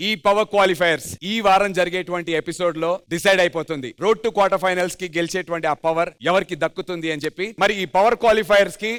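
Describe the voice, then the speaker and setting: A man hosting a television show on stage, announcing with energy and drawn-out emphasis. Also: high voice, fairly even intonation, fairly bright tone, clear, resonant tone. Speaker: TV show host. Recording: TV stage with music behind.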